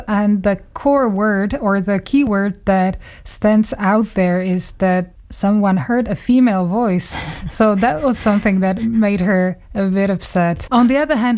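A woman speaks as a guest in a radio interview. Her voice sits at a medium pitch. She phrases her memories thoughtfully, pausing as she searches for words. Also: a little mellow. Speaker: radio journalist, studio guest. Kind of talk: reminiscing in a studio interview.

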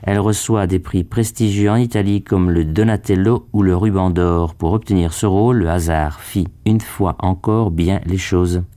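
Low, dark-voiced male radio presenter. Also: fairly even intonation, no echo. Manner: measured, formal reading. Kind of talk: scripted radio feature narration.

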